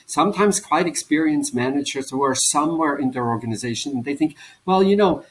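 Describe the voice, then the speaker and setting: Swiss German-accented male author, animated explainer, mid-pitched voice. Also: rough recording. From German-speaking Switzerland. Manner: animated, explanatory, laughs often. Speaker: author and professor. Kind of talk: conversational interview answers.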